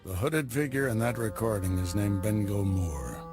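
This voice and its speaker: A man's voice: fairly low pitch, close to an everyday voice. A man voicing a grave Jedi master mentor. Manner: measured, commanding, dry. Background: game score music.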